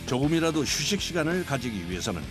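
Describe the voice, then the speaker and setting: A man's voice: fairly high pitch, sing-song, quick pace. A man reading scripted documentary narration in Korean, with music playing behind him. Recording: loud music bed behind voice.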